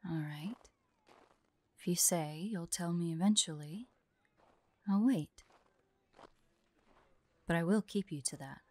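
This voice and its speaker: A woman playing a forlorn, vulnerable young huntress in an intimate audio roleplay, speaking softly and haltingly, often drifting into whispers. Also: fairly low pitch, no room sound. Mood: gentle, earnest. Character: forlorn young woman hunter, tearful, vulnerable, guarded, affectionate.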